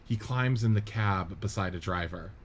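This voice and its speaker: A man narrating the stage directions of a script reading in a steady, matter-of-fact way. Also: lowish voice, moderate pace, slight background noise.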